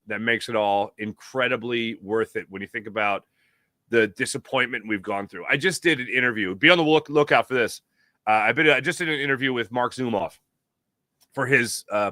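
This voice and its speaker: A man's voice: lowish voice, pushed and bright, no room sound, studio quality. An American man from the Philadelphia area hosting a sports show, talking in a casual, emphatic, conversational storytelling way. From Philadelphia area, United States. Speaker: sports talk show host. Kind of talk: casual sports talk monologue.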